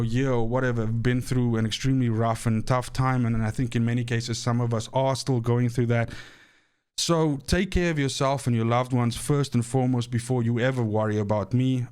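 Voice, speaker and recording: A man's voice: mid-range voice, a little mellow, clean tone. A man from Namibia with a South African accent, casually explaining a game and thanking his channel's viewers, often repeating words as he restarts. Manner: grateful, earnest. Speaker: gaming channel host. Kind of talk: casual talk to camera.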